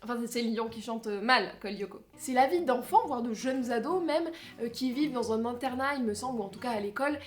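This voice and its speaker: Femme à la voix très aiguë, ton enjoué. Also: female voice, fairly high pitch, lively intonation, a little fast, hardly any pauses. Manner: exclamatory, playful, theatrical emphasis. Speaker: vlogger presenting a countdown. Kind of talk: animated casual chat to camera.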